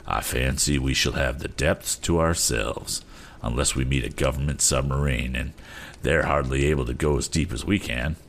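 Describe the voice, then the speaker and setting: A man voicing a seasoned sea captain, hearty and politely measured, in a storybook reading. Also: very deep voice, creaky, a little hiss or hum, voice pushed down. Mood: calm, reassuring.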